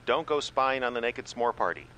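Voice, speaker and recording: An actor playing a fussy, exasperated small-town radio station manager who stammers officiously in a comedy radio play. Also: male voice, medium pitch, expressive, moderate pace, bright, forceful tone, muffled recording, a little hiss or hum.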